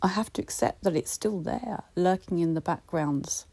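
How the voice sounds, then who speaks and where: A woman with a British accent giving a reflective solo podcast talk, gently encouraging and speaking straight to the listener. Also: lowish voice, moderate pace, gravelly, breathy edge. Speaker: podcast host.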